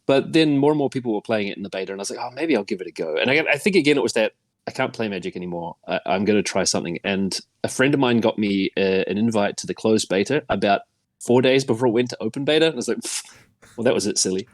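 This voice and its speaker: A man's voice: fairly low pitch, quick pace, dry recording. A middle-aged man with a New Zealand accent chatting casually as a podcast guest, in a rambling, self-deprecating way. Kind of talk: casual chat.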